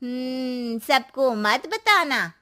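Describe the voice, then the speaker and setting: A woman voicing a gossipy older neighbourhood aunty in an animated moral story, with a drawn-out, knowing delivery. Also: sits high, heavily acted. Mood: prying, cautioning.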